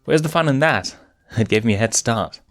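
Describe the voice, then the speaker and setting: A man's voice: medium pitch, animated, moderate pace, fairly bright tone, soft background music, pitched above the performer's own voice. A man voicing a playful, cocky young male lion cub prince in a boyish, jokey manner.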